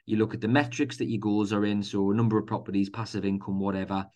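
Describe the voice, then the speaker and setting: Low-voiced Geordie man, chatty podcaster. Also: fairly even intonation, quick pace, darkish tone. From North East England. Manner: chatty, informal, many fillers and restarts. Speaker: podcast host. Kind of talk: solo motivational podcast.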